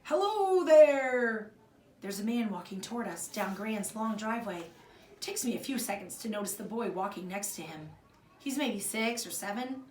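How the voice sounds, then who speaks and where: An expressive woman reads a children's storybook aloud in the manner of a primary school teacher. The sound is roomy and a little distant from the mic, with faint noise behind her. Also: medium pitch, normal speed. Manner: expressive, acts out the dialogue. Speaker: fourth grade teacher reading aloud.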